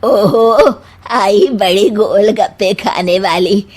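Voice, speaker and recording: A woman voicing a sharp-tongued, scolding middle-aged mother-in-law in a cartoon story, sarcastic and taunting. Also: mid-range voice, takes it slowly, breathy edge. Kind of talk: Hindi cartoon story dialogue.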